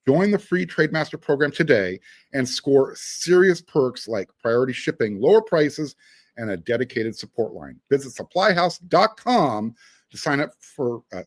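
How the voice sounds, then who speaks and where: A man hosts a sports podcast in a mid-range voice with lively, swinging intonation. He speaks in a casual, conversational style. The sound is dry and close. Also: mid-range voice, dry recording. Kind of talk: casual sports talk and ad reads.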